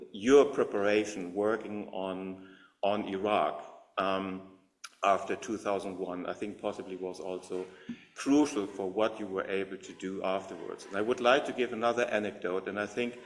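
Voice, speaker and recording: Moderator: man, low voice, loose and rambling, heavy on "um". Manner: rambling, many fillers, admiring. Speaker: meeting organizer and moderator. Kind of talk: conversational remarks to an audience.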